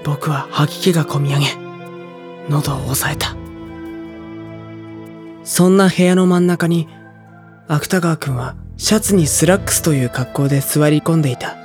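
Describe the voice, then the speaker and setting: 高校生の少年役として、沈んだ調子で物語を語る男性の声優。. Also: male voice, a little high, a little mellow, natural voice. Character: subdued high school boy narrating his story. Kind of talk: scripted first-person drama narration. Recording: music under the voice.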